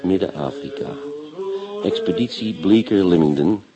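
A man's voice: deep voice, animated, moderate pace, highs cut off, a crowd in the background. A man acting a businesslike, patient but firm police inspector in a Dutch radio play, with a Netherlands accent. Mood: pondering.

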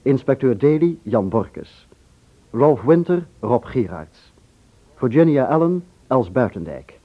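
Formal male radio announcer, lively intonation. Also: medium pitch, takes it slowly. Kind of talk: scripted closing credits announcement. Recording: slightly muffled old radio recording.

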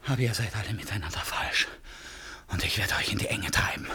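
A man's voice: fairly low pitch, brisk, bright, forceful tone, a little hiss or hum. An actor in a German radio play playing a feverish, raving, self-tormenting man who lurches between outbursts and broken muttering. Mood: delirious.

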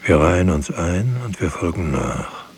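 Radio drama narrator: grave poetic witness, very low creaky voice, solemn delivery, faint hiss. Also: male voice, big pitch swings, measured pace, a little mellow, exaggerated voice.

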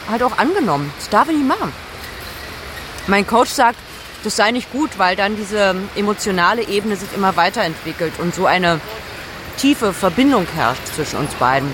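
A woman's voice: medium pitch, noisy background, natural voice. An actress playing a caring, self-critical expatriate woman boss, giving a candid conversational monologue in a radio drama.

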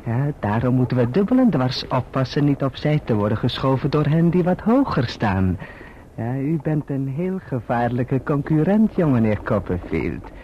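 An actor playing a falsely humble, scheming young clerk in a radio drama, wheedling and obsequious. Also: male voice, mid-range voice, big pitch swings, normal speed, lightly acted. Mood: sly, wary. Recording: dull, noisy old radio recording.

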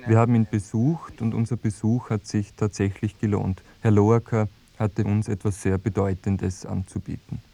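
A man acting a sober radio documentary narrator, reading scripted narration in a measured, matter-of-fact way. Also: fairly low pitch, level tone, dark tone. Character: sober male documentary narrator reporting an investigation.

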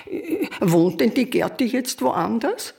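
A woman's voice: on the high side, big pitch swings, takes it slowly, vocal fry, darkish tone, breathy edge, heavily acted. An actress playing a confused, forgetful elderly retired woman with a Viennese accent in a radio drama. She rambles and talks past the others. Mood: puzzled. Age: older. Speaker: mother in a radio play. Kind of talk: broadcast radio drama acting.

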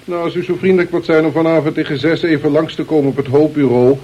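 An actor playing a shrewd, sarcastic police inspector in a radio play, polite, measured and quietly insinuating. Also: male voice, fairly high pitch, steady tone, husky. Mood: sardonic. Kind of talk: Dutch radio play acting. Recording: dull, lo-fi radio play recording.

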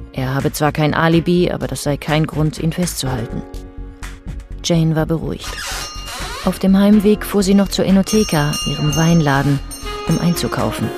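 A woman's voice: low-pitched, darkish tone. A woman as the composed narrator of a radio crime drama, reading literary prose in a sober, restrained manner. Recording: music bed under the voice.

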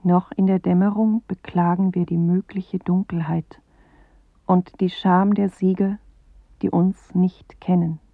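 A performer reciting as a grave, solemn narrator in a literary radio play, with a breathy, husky voice and measured delivery. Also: medium pitch, level tone, no room sound. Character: grave poetic narrator reciting bleak apocalyptic images. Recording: narrow phone-like 1980s radio recording.

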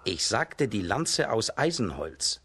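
Husky, bold folk-tale hero. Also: male voice, fairly low pitch, slow, heavily acted. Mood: demanding. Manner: lively, determined, taunting. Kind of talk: children's radio play acting.

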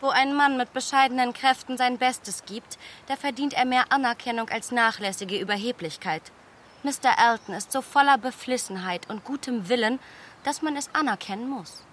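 Woman playing a confident clever young lady in a radio play, higher voice, poised and quick. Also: fairly bright tone, a little hiss or hum, lightly acted.

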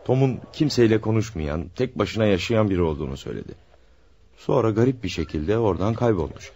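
An actor playing a dutiful police subordinate in a radio play, giving respectful, orderly reports on a dull recording. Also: male voice, fairly low pitch, animated, fast, mellow, breathy edge. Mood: earnest, reporting. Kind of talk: radio theatre acting.

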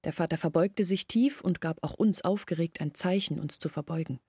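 Low-voiced village woman recalling her past. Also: normal speed, dark tone, husky, no room sound, studio quality. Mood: reflective. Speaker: actress. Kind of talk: radio play monologue, storytelling. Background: music.

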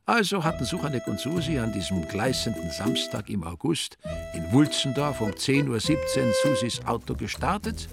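An actor playing a wry, sardonic narrator in a radio drama, with a dry, ironic delivery. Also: male voice, medium pitch, very animated, moderate pace, creaky, over loud music. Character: wry, sardonic male narrator of a comic crime story.

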